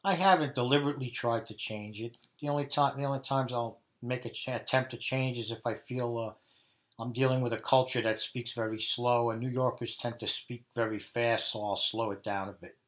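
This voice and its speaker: A man's voice: mid-range voice, fairly even intonation, moderate pace. Brooklyn man in his fifties. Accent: Brooklyn New York. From Brooklyn, New York, USA. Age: 50s. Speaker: interviewee, dialect research subject. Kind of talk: interview answers.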